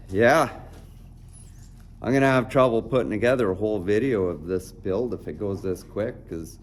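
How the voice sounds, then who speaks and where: A man with a hoarse, raspy voice chatting casually in a vlog about his shop, rambling and trailing off with fillers. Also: deep voice, a little mellow, a little hiss or hum. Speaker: vlogger. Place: garage shop, partly outdoors.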